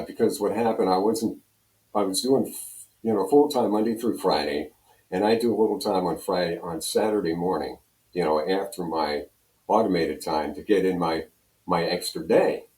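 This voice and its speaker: A man's voice: low-pitched, darkish tone. An older American man telling radio stories as an interview guest, casually rambling and acting out bits of dialogue. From Pennsylvania, United States. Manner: rambling, conversational, acts out dialogue. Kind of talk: casual anecdotal storytelling. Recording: roomy, echoey sound.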